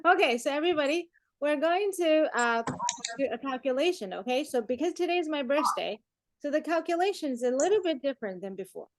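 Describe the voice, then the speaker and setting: High-pitched playful woman teacher in her forties. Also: expressive, a little fast, a little hiss or hum. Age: 40s. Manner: playful, teasing, often chuckling. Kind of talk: interactive online class chat.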